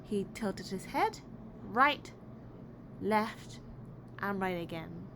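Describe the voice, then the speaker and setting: Noisy recording, woman, mid-pitched voice, children's read-aloud narration, expressive, deliberate emphasis. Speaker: picture book reader. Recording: clearly noisy background.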